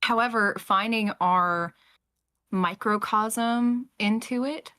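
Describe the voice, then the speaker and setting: A woman speaks in a bright, pushing tone. She is earnest and emphatic, chatting informally and dropping in little asides as she makes her point. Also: a little high, slow, smooth. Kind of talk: casual group discussion.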